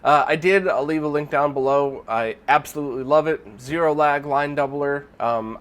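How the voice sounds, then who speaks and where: A man's voice: mid-range voice, clear, resonant tone. A man hosting a casual viewer Q&A, sounding nasal and congested from a recent illness, rambling with lots of fillers and restarts. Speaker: channel host. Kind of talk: casual Q&A monologue.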